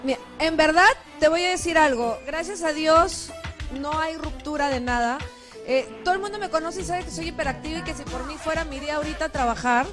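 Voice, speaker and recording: A woman in her thirties, a TV host on a live outdoor link, telling her story in a lively, chatty way into a handheld mic. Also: sits high, fairly quick, over music. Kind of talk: casual live TV chat. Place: outdoors on a leafy street.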